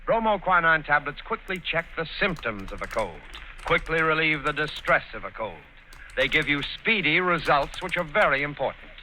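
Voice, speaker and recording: A man announcing a 1940s radio show and reading its sponsor's ad. His voice is slightly high and very bright and sharp, with a crisp, formal delivery. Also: big pitch swings, moderate pace, a little hiss or hum.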